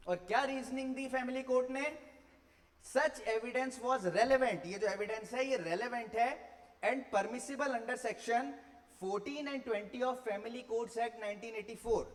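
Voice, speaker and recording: Man, Indian accent, high pitch, didactic lecture delivery, Hindi-English mix, rhetorical questions, long echoing reverb. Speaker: law teacher.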